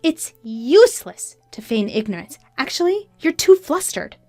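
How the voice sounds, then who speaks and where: A woman's voice: high voice, sing-song, a little slow, a little mellow, dry recording, soft background music, voice pushed up, full character voice. A woman voicing a betrayed, defiant wife, scornful, emphatic and accusing, in a narrated story. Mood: furious. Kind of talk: acted dialogue in a narrated story.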